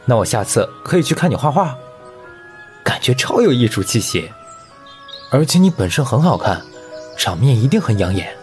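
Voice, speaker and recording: A man's voice: mid-range voice, very animated, moderate pace, pauses often, faint music behind, lightly acted. A young man playing a friendly, curious teenage schoolboy in an audio comic drama. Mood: eager, admiring. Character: friendly, curious teenage schoolboy, openly admiring his classmate. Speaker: amateur voice actor.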